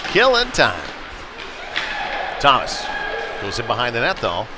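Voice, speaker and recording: Male American sports commentator, mid-pitched voice, live hockey call, conversational, recorded amid heavy arena noise. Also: huge pitch swings, unhurried, over a noisy crowd. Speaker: play-by-play commentator. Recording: loud rink noise behind the voice. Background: noisy indoor ice rink with bangs.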